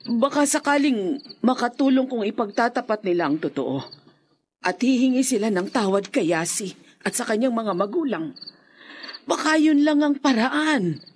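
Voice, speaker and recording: A woman's voice: fairly high pitch, expressive, normal speed, a little hiss or hum, natural voice. An actress playing an anxious middle-aged mother in a radio drama, speaking fearfully and pleadingly. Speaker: mother worried for her son.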